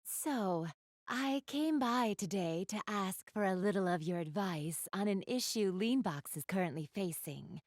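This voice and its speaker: A woman's voice: mid-range voice, expressive, unhurried, no echo, studio quality, natural voice. A woman playing an elegant, polite young goddess in a video game, speaking to the listener in formal, ladylike phrases. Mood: earnest, thoughtful. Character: elegant, refined young goddess with gamer enthusiasm. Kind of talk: video game character dialogue, addressing the listener.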